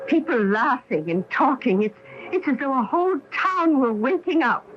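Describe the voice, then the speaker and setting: A woman's voice: high-pitched, expressive, normal speed. An actress in a radio drama playing a genteel, questioning older small-town lady. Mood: eerie wonder. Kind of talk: 1980 radio drama acting. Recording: noisy, dull old radio broadcast.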